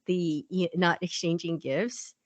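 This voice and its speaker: A woman's voice: lowish voice, steady tone, slow pace, dry recording. A woman co-hosting a podcast in casual chat, rambling and laughing often as she tells stories. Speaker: podcast co-host.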